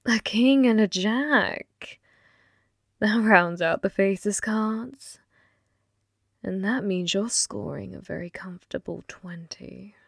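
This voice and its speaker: A woman voicing a flirty, possessive female demon gambler in an ASMR roleplay, breathy and often whispering, teasing with sweet menace. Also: mid-range voice, no echo, clean studio sound. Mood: smug, flirty. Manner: slow, teasing, calls listener love. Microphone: close microphone, dry.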